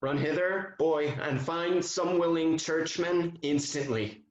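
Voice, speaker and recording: An earnest, commanding colonel of Restoration stage comedy, played by a man. He speaks slowly and romantically, in a muffled recording with a roomy echo. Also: a little high. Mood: eager. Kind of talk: theatrical period comedy acting. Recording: dull, echoey room sound.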